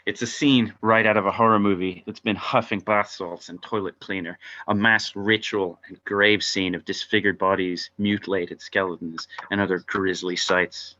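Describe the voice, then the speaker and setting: A man's voice: sits low, fairly even intonation, moderate pace, hardly any pauses, pushed and bright. A man narrating stage directions from a script at an informal table read, heard over lo-fi video call audio.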